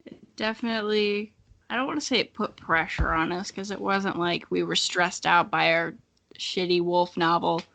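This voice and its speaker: A woman's voice: fairly low pitch, steady tone, normal speed, vocal fry, fairly bright tone. A young woman co-hosting a podcast in casual chat, candid and self-mocking, with easy swearing. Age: young adult. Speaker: podcast co-host. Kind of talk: casual chat between friends.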